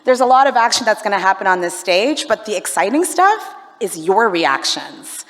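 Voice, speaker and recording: A woman's voice: mid-range voice, sing-song, normal speed, fairly bright tone. Chatty Canadian woman MC in a hall. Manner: chatty, playful, audience-engaging. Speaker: event MC. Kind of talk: live event hosting, crowd interaction. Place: large conference hall. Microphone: handheld stage microphone. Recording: reverberant conference hall.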